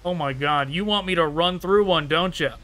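A man's voice: a little high, moderate pace, sharp tone. A man giving casual gameplay commentary as a gaming host, chatty and wry, thinking aloud.

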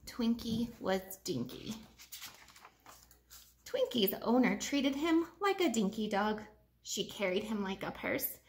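High, lively woman reading a children's storybook. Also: a little hiss or hum. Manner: expressive, emphatic storytelling with deliberate phrasing. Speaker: teacher reading a picture book aloud to pupils. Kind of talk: children's storybook read-aloud. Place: living room at home, indoors.

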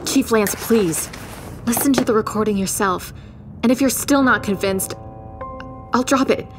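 A woman's voice: fairly high pitch, a little slow, music in the background, close to an everyday voice. An actress playing a stubborn, compassionate homicide detective in an audio drama, pleading her case in a principled, insistent way. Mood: earnest, pleading.

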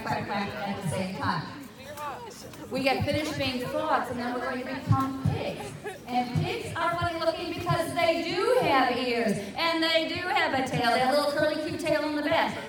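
A woman playfully leading a children's circle dance at an outdoor festival, talking into a handheld mic. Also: sits high. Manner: playful, animated instructions to a crowd. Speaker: folk dance leader. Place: grassy park at an outdoor festival. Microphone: handheld mic, filmed from a distance. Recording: distant, echoey, with music and crowd.

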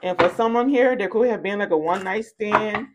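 A woman's voice: medium pitch, fairly quick, noisy background. A woman hosting a casual tarot reading, talking in a playful storytelling way with slangy endearments. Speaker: tarot reader and channel host. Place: indoors at a card table.

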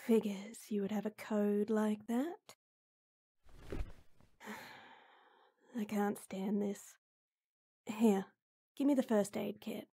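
A woman playing a gruff but caring tomboy best friend in an intimate audio roleplay, blunt and exasperated, with frequent sighs. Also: mid-range voice, fairly even intonation, very slow, pauses often, warm tone, exaggerated voice. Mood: exasperated, worried, then brisk, caring.

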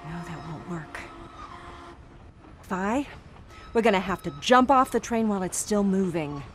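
A woman acts as a cautious young woman on the run in an audio drama, practical and polite. Her medium-pitched voice moves in a lively range, with music behind. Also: measured pace, natural voice. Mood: urgent.

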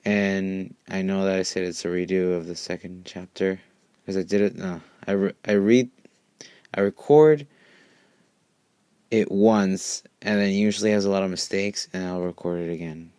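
Male amateur narrator, lowish voice, informal story reading, close dry sound. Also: fairly even intonation, moderate pace, darkish tone, clear, resonant tone, dry recording. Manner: informal, stumbles over words. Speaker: fan fiction narrator.

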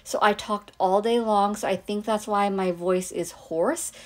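A hoarse woman chatting easily through a voiceover for her nail unboxing and manicure video. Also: medium pitch, steady tone, a little slow. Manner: chatty, easygoing, thinking aloud. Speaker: nail vlogger.

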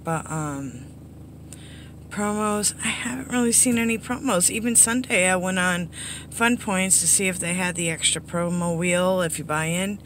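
A middle-aged woman with a hoarse, under-the-weather voice, giving a casual, rambling update to viewers in a low-key, apologetic way. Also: moderate pace. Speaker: slots vlogger. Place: inside a parked car. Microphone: phone at arm's length. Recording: background noise inside a vehicle.

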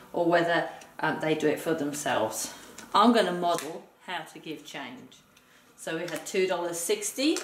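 A woman talking a partner through a board game like a teacher, explaining step by step and counting aloud. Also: fairly low pitch. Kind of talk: instructional game play-through talk, addressing a partner. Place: indoor room, game on a table. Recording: roomy, distant mic with noise.

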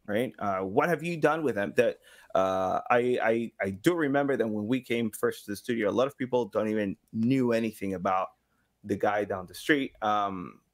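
A man's voice: lowish voice, moderate pace. A man in his thirties chatting casually and expressively as an interview guest, often acting out little imagined exchanges. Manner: expressive, acts out imagined quotes. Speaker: podcast guest, engagement director and sound designer. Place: home room, indoors.

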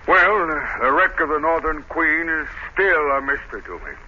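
Genial rich shipowner, higher-pitched, very bright, vintage radio drama sound. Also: male voice, sing-song, normal speed, heavily acted. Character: hearty, genial shipping magnate. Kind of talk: 1930s radio acting. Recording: dull, noisy 1930s radio recording.

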